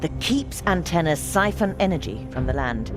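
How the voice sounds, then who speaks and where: A serious resistance commander in a fantasy game. Her fairly low voice lays out the mission with earnest authority, with music clearly behind. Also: sing-song, moderate pace. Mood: businesslike. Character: serious, resolute female resistance leader giving briefings. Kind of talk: scripted game mission briefing.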